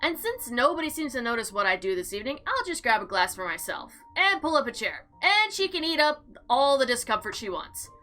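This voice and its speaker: A woman narrates a visual novel as a let's play, slipping between dramatic reading and casual commentary. Her voice is fairly high and bright, with highly animated intonation. Light music runs underneath. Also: a little fast, hardly any pauses. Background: faint background music.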